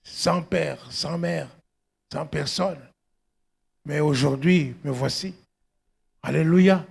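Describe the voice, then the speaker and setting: A middle-aged man preaching as a pastor, emphatic and exhortative, often calling out to his congregation, with a husky, gravelly voice. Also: fairly high pitch, takes it slowly. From outside Belgium, arrived at eighteen. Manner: emphatic, punctuated with repeated exclamations and questions to congregation. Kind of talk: exhortative sermon.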